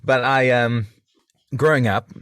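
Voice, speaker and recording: A man's voice: medium pitch, fairly even intonation, a little slow, a bit bright, smooth. A man in his thirties from South Africa, speaking with a South African accent as a friendly, chatty podcast guest with a self-deprecating sense of humor. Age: 30s. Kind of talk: casual interview.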